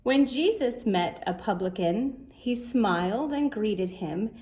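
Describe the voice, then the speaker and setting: A woman reading a rhyming children's story aloud in a measured, expressive way. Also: on the high side. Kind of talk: scripted storybook narration in rhyme.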